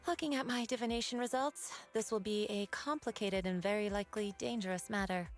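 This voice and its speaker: A woman playing a composed, shrewd fortune-teller with calm, formal, measured delivery in a video game. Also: medium pitch, natural voice. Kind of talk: scripted video game voice acting. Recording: game audio with faint music behind. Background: soft music.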